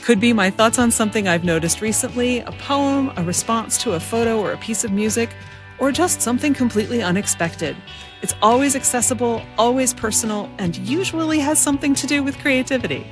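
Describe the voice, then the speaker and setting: A woman's voice: fairly high pitch, animated, fairly quick, music in the background. A woman hosting a creativity podcast, speaking in a scripted, presenter style. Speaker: podcast host and creativity coach. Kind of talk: scripted podcast introduction and interview.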